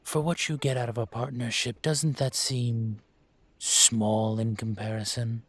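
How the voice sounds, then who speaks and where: A man playing a suave, menacing dragon mafia boss in an audio roleplay, measured and condescending with a teasing edge. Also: medium pitch, level tone, dry recording, natural voice. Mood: coaxing, controlling. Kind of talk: scripted audio roleplay, intimate.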